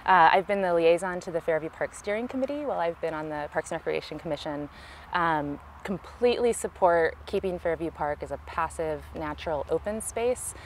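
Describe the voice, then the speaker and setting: Low, even-toned Californian woman, some outdoor noise. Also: a little fast, poor audio. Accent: Californian American. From California, United States. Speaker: city council candidate, interviewee. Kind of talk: conversational political interview answers. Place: outdoors in a park.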